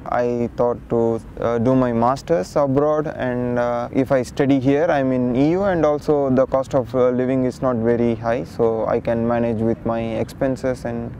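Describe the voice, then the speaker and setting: A man from India answers interview questions hesitantly, pausing often. His voice is mellow and dark, and faint outdoor sounds are heard behind him. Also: mid-range voice, steady tone, slight background noise. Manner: hesitant, many uh fillers. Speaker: student. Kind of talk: casual interview talk.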